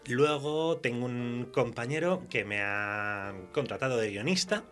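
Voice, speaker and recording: A man with an accent from Spain speaking as a guest author in a conversational radio interview, halting as he thinks aloud. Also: mid-range voice, a bit bright, soft background music.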